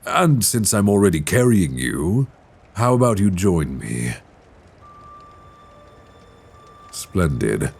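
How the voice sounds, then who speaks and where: A man playing an ancient dragon king in an intimate ASMR roleplay, tender and wry, with courtly, teasing phrasing and a husky voice. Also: sits low, very animated, a little slow, a little mellow, heavily acted. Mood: playful, warm. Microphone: close binaural microphone.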